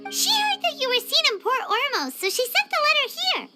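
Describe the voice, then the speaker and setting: Very high, squeaky childlike fairy. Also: female voice, brisk, over loud music. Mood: chatty. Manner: chirpy, expressive, exclamatory. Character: small chatty childlike fairy companion, perky and helpful. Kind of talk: video game dialogue acting.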